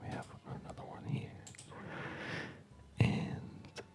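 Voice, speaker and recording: Casting: ASMR gaming host, fully whispered, slow and hesitant, softly rambling delivery. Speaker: host playing a strategy game. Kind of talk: ASMR whispered game commentary.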